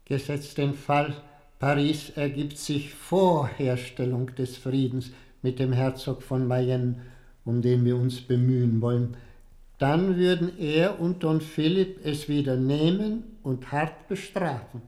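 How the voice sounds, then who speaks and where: An actor playing a shrewd, wary high churchman negotiating formally in a radio drama. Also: dark tone, reverberant, natural voice. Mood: wary, challenging. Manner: formal, deliberate. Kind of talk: German radio drama acting.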